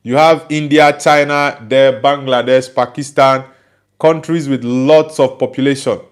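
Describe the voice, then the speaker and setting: A man's voice: mid-range voice, steady tone, measured pace. Nigerian man reading live chat comments. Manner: reads viewer comments aloud and answers each one; friendly, often asks for likes and subscribes. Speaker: livestream host reading chat.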